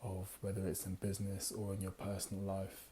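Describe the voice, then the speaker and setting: A tired man with a slightly raspy voice hosts a casual book review, talking unhurriedly with many fillers and reading passages aloud. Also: low voice, monotone, a little fast, mellow, a little hiss or hum. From United Kingdom. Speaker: book club host.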